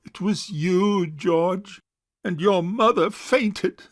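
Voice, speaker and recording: A male actor plays a fussy, reluctant rich bachelor uncle in an audio farce. He speaks slowly and formally, with pompous, measured phrasing. The recording is close and dry. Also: high voice, no room sound. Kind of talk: stage farce audio drama acting.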